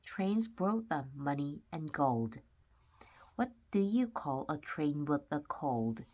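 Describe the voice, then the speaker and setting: A woman reading a children's nonfiction book aloud with simple, deliberate phrasing, sometimes stumbling over words. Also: low voice, big pitch swings, warm tone. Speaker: read-aloud narrator of children's books.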